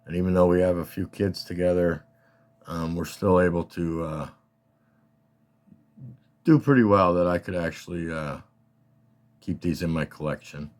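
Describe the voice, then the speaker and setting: Low, slightly scratchy man, casual and halting. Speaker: collector hosting a card showcase. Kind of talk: casual rambling show-and-tell talk.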